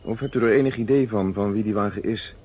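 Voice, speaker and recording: Shrewd, low-voiced police inspector played by a man. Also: close to an everyday voice. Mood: disarmingly polite. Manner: measured, casually probing, polite. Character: shrewd, self-assured police inspector, smoothly disarming. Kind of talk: radio play acting. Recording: dull, noisy old radio recording.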